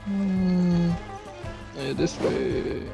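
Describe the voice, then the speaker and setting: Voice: medium pitch, drawn-out words, gravelly. A gamer muttering casual, sweary commentary while playing, with game music behind. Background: game music and sounds.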